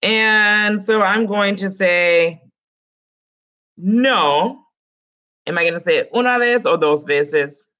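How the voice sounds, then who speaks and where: A woman's voice: mid-range voice, slow, long pauses. Chatty woman teaching Spanish by webcam. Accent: Dominican Spanish in Spanish words. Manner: lively, chatty, joking asides to students. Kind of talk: online class lesson. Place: small home room. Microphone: webcam close up.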